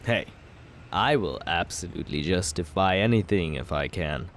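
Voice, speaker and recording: A man's voice: sits low, lively intonation, moderate pace, fairly bright tone, a little hiss or hum. A young man playing a cocky male villain who courts the listener in an ASMR roleplay, teasing and laid-back as he justifies himself.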